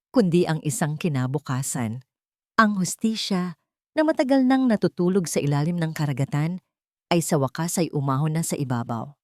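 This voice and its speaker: An earnest company chief from a romantic audio drama, read by a woman. The delivery is solemn and deliberate, with heartfelt weight. The pitch sits low for a female voice and the recording is very dry. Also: a little mellow, no room sound.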